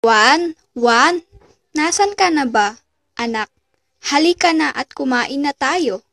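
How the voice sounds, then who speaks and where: A woman's voice: high-pitched, expressive, slow, gravelly, a bit bright, full character voice. A woman playing a worried, loving mother pleading with her son in a puppet show, with some background noise.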